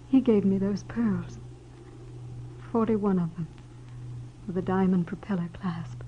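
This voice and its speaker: An actress playing a guarded, wistful married woman in a 1940s radio drama, measured and melodramatic. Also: fairly low pitch, natural voice. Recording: dull, hissy old radio recording.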